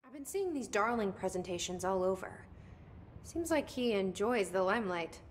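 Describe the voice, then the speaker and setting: Actress as direct, guarded game heroine. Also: female voice, medium pitch, lively intonation, normal speed, slight background noise, close to an everyday voice. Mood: curious, guarded. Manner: direct, terse, questioning. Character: determined, guarded young woman, direct and probing. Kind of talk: video game dialogue acting.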